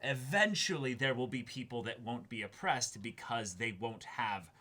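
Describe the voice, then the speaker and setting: A man's voice: mid-range voice, moderate pace, bright, forceful tone. A man co-hosting a casual discussion, talking analytically and stressing his key words. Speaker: co-host of an anime reaction discussion.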